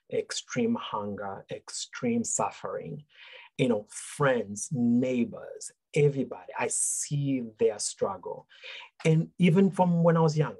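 A man's voice: mid-range voice, darkish tone, poor audio. A middle-aged man from Tanzania answering interview questions over a video call, earnest and reflective, often restarting his words. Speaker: guest speaker.

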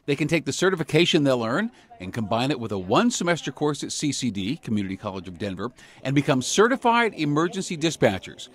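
A man's voice: mid-range voice, very animated, quick pace. A man in his fifties with an American accent, reporting as a TV journalist in scripted news narration. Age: 50s.

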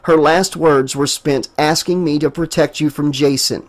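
A man's voice: a little high, fairly even intonation, normal speed, lightly acted. A man playing an earnest, desperate young man. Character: young man, desperate, trying to protect her.

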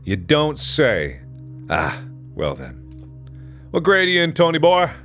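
A man's voice: fairly high pitch, huge pitch swings, a little slow, fairly bright tone, soft background music, pitched above the performer's own voice, full character voice. A man voicing a police officer who questions a young boy in a condescending, probing way in a visual novel game.

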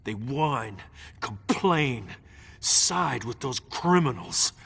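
An actor playing a tyrannical superhero turned dictator, cold, commanding and threatening, for a video game. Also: male voice, medium pitch, lively intonation, very slow, creaky, a bit bright, husky, full character voice. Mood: bitter, menacing.

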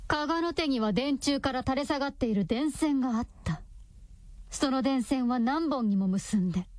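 A woman narrating a radio drama in a measured, scripted storytelling style. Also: high-pitched, a little mellow, dry recording.

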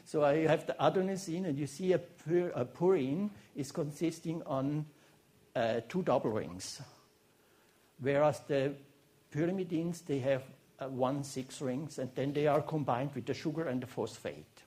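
A man with a Swiss German accent giving a seminar lecture as a biochemist, talking haltingly with many restarts and fillers. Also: fairly high pitch, a little mellow. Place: lecture room before an audience.

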